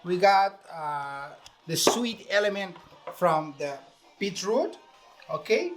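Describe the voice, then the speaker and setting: A man's voice: high voice, lively intonation, slow, faint music behind. Venezuelan man chef showing a recipe. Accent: Venezuelan Spanish. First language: Spanish. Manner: explains step by step, many fillers. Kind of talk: casual cooking demonstration.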